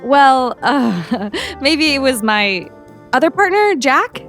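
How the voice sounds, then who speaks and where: Woman playing a high-voiced teenage student reporter. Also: big pitch swings, takes it slowly, a bit bright, faint music behind, full character voice. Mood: nervous, evasive. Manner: hesitant when fibbing, insistent when pressing. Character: determined, inquisitive teenage girl student reporter. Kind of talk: audio drama acting.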